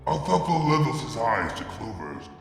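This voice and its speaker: A man's voice: medium pitch, sing-song, creaky, faint music behind. Brooding man narrating in an echoey room. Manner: brooding, deliberate, with dramatic drops in loudness. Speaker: fanfiction reader. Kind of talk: dramatic first-person story narration. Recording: reverberant room with long echo.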